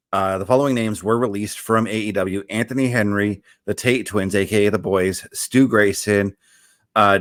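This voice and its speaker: Low-voiced man co-hosting a podcast. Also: a bit bright, dry recording, studio quality. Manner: brisk, with fillers, reading out news. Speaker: podcast co-host. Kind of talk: casual podcast chat and news rundown.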